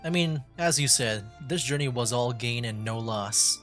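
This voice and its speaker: A man's voice: mid-range voice, normal speed, music in the background. A man giving casual, rambling commentary as he streams a video game, talking conversationally.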